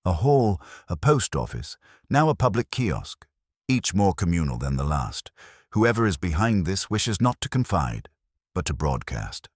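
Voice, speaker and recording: A man performs a courteous police inspector from a mystery story. His very deep voice rises and falls expressively while staying gentle and formal. He chooses each phrase with calm deliberation, in a dry, close sound. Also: unhurried, breathy edge, dry recording, exaggerated voice. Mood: grave, deliberate.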